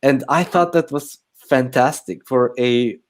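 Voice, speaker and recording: A man's voice: mid-range voice, a little slow. Rambling man chatting casually. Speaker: co-host of a film chat livestream. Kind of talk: casual chat.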